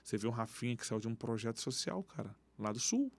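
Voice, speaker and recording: A man who coaches youth football, speaking as a podcast guest and telling stories in animated street slang. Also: mid-range voice, fast talker, creaky. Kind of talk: casual interview talk. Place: podcast studio.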